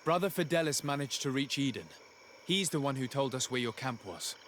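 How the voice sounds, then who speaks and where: A man's voice: medium pitch, lively intonation, fairly quick, fairly bright tone, slight background noise, lightly acted. A man playing a courteous, composed noble envoy in video game cutscene dialogue. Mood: composed, reassuring.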